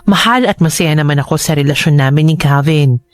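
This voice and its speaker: A man narrating a first-person drama confession in a reflective, slightly halting manner. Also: fairly high pitch, natural voice. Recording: music under the voice.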